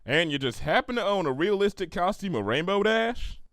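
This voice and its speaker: A man's voice: sits high, expressive, moderate pace, a bit bright, dry recording, studio quality, pitched above the performer's own voice. A man voicing a blunt, hard-working female cartoon farm pony with a folksy, drawling way of talking, in a story reading. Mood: wary, puzzled. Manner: folksy, plain-spoken, drawling dialect.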